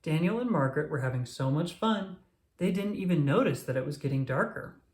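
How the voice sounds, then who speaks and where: Animated children's storyteller in an echoey room. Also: mid-range voice, fairly quick. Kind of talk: children's read-aloud narration.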